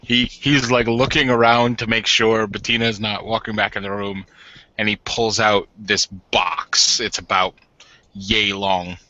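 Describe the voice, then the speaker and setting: A man's voice: on the low side, little pitch movement, measured pace, pushed and bright, dry recording. A man casually improvising narration as a player in a tabletop role-playing game. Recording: dull lo-fi audio.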